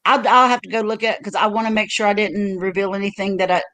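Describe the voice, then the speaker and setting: An older woman talks into a home mic on her own livestream. She rambles through stories, circling back with fillers and restarts. Her pitch sits in an ordinary middle range. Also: little pitch movement, fast talker, fairly bright tone. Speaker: livestream host. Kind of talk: casual chat.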